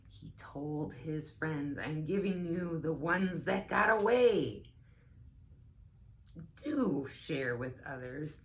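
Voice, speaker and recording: A woman reading a picture book aloud to young children as a teaching assistant, with expressive, deliberate phrasing and chatty asides. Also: low-pitched, a little hiss or hum. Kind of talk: children's story time read-aloud with asides.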